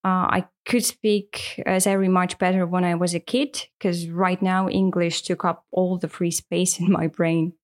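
A woman's voice: fairly low pitch, fairly even intonation, a little slow, hardly any pauses. A woman, co-hosting a casual podcast as an English teacher, talking in a friendly, earnest way with frequent fillers. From Russia. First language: Russian.

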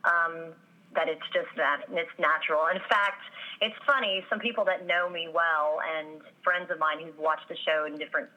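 A voice actress answering interview questions, with a slightly raspy voice and many pauses and ums. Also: female voice, low-pitched, fairly even intonation, muffled recording. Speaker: voice actress guest.

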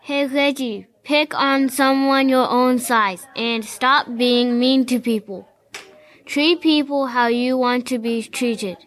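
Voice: high-pitched, steady tone, slow pace, a bit bright. A female performer playing a kind schoolgirl who stands up to bullies in an amateur school radio play. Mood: firm.

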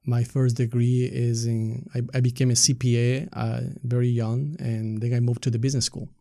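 A man's voice: medium pitch, little pitch movement, normal speed, vocal fry, warm tone, no echo, clean studio sound. A middle-aged man with an Ecuadorian Spanish accent, explaining things conversationally as an interview guest and often checking points with a tag question. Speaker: podcast guest, community activist and soccer coach.

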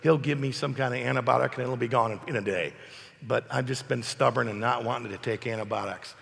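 A man preaching an emphatic sermon while hoarse from a cold, asking his congregation questions. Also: mid-range voice, quick pace, a bit bright, long reverb tail. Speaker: pastor. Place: church hall.

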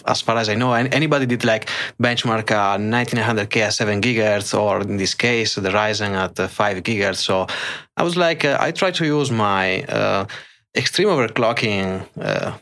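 A middle-aged man with an Italian accent talks in a fairly low voice during a casual interview. He speaks haltingly, pausing on fillers and restarting his sentences. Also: fairly bright tone. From Italy. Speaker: guest, extreme overclocker.

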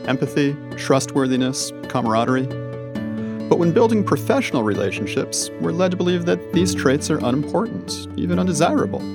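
A man hosting an interview podcast, welcoming listeners and asking probing, inviting questions. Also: fairly high pitch. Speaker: podcast host.

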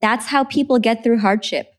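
A woman from Trinidad, an author talking about her books in a relaxed interview, earnest and quick to laugh. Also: fairly high pitch. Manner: earnest, laughs easily, repeats words when starting. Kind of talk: conversational interview.

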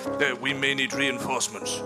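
A squeamish explorer, acted by a man who grumbles and exclaims indignantly. The voice is of average male pitch, in improvised comedy over clear music. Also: fairly even intonation, moderate pace, close to an everyday voice. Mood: reluctant. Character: squeamish, indignant adventurer complaining to his companion. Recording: music behind the voice.

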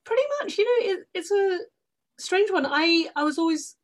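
A woman's voice: very high voice, moderate pace. A woman from outside London with a British accent, chatting casually as a guest in an interview. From England, outside London. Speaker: podcast guest, stage actress.

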